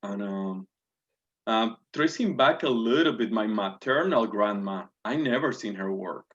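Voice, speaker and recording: A man's voice: on the low side, animated, unhurried, a bit bright. A man in his forties from Puerto Rico with a Puerto Rican Spanish accent, a guest answering interview questions earnestly and politely, with many fillers and restarts. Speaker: guest, navy officer. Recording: dull lo-fi audio.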